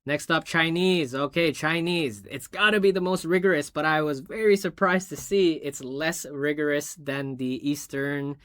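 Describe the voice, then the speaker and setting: Brisk man reading scores. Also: on the high side, steady tone, a bit bright, no echo. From Hong Kong. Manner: reads numbers off quickly, chatty asides. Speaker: tech video host. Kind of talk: casual commentary on chart results.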